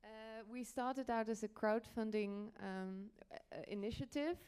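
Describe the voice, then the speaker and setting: A woman's voice: a little high, unhurried, a little mellow, smooth. A woman from the Netherlands giving a conference presentation as a panel speaker, a little hesitant and stopping to reword as she goes. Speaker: panel speaker, journalism editor.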